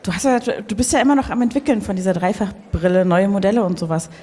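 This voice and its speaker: A woman playing a sentimental showbiz colleague with odd proposals, speaking haltingly in an improvised comedy radio play. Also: medium pitch, warm tone, natural voice. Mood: eager. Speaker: improv performer. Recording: echoey hall with music, live stage.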